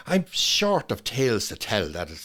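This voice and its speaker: A man's voice: mid-range voice, huge pitch swings, a bit bright. An actor playing a lonely, nostalgic old Irish man in a reflective, lyrical stage monologue. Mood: resigned, reflective. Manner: wistful, lyrical, measured with lingering pauses. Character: lonely, nostalgic old widower, quietly ruminating. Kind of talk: dramatic monologue, scripted first-person storytelling.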